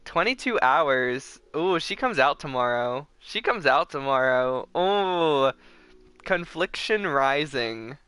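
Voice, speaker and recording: A man chatting casually and excitably to viewers as the host of a gaming livestream. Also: medium pitch, takes it slowly, clear, resonant tone, no room sound.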